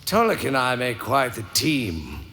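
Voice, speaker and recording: A man's voice: medium pitch, wildly swooping pitch, a little slow, bright, forceful tone, full character voice. A man playing a smug, slippery alien informant in a live space-opera audio drama, drawling and taunting with mock politeness. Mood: gloating.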